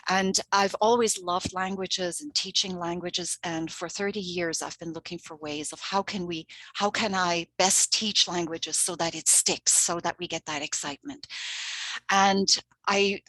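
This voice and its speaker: A woman's voice: lowish voice, fairly even intonation, a little slow, fairly bright tone, dry recording, rough recording. A middle-aged woman from Switzerland, a native Swiss German speaker, presenting a language-teaching workshop in an earnest, chatty way and laughing often. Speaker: language coordinator.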